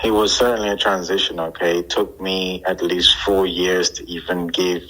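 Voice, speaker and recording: A man, answering interview questions thoughtfully and pausing to find his words. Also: low voice, little pitch movement, sharp tone, poor audio. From Guyana. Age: 30s. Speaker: interview guest.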